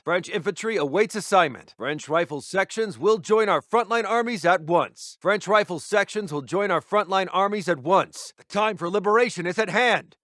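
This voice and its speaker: Strategy game intelligence officer: notably high male voice, formal and resolute, clipped rousing military delivery, very dry sound. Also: a bit bright, dry recording. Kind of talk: scripted game unit announcements.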